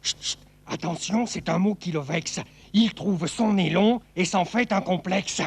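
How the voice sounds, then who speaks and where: A man voicing a fussy, easily exasperated character in a children's show, creaky and husky, given to exclaiming. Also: high-pitched, measured pace, exaggerated voice. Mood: hushed warning. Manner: exclamatory, scolding. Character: fussy, easily exasperated puppet cousin, quick to scold.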